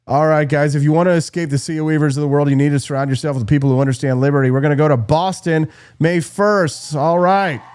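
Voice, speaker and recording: A man's voice: a little high, a little mellow. Sarcastic man hosting a show. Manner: punchy, sarcastic, repeats "right?". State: losing his voice. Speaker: political show host. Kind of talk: monologue commentary.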